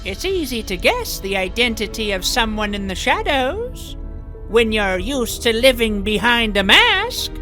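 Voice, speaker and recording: A woman voices a pompous, menacing villain for a comic dub, an idealist locked away. The delivery is slow and very animated, in a high voice. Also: faint music behind, exaggerated voice. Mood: smug. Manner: pompous, philosophising. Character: imprisoned mastermind villain, smug, idealistic and menacing. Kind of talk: theatrical comic dub acting.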